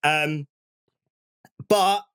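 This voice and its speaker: Higher-voiced man chatting, slightly scratchy. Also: drawn-out words, a bit bright, clear, resonant tone, no echo, studio quality. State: slightly scratchy throat from a cold. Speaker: podcast co-host. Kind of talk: casual chat, telling stories.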